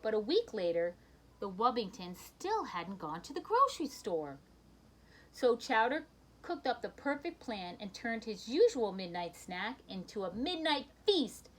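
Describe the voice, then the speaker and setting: A woman reading a children's picture book aloud in an expressive storytelling manner, with brief asides to her listeners. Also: fairly high pitch, a little slow, low-quality recording.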